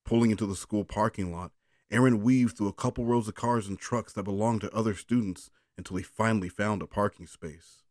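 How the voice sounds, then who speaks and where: A man's voice: on the low side, steady tone, a little fast, no echo, studio quality. A man chatting casually and reading a story aloud on a phone call, coaxing and teasing in a rambling way. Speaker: bedtime story reader on a call.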